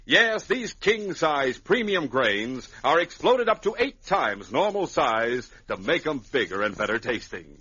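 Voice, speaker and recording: A man's voice: medium pitch, big pitch swings, measured pace, few pauses, bright, forceful tone, breathy edge, no echo. A man announcing and narrating an old-time radio show with a dramatic, emphatic delivery. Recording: muffled vintage radio recording.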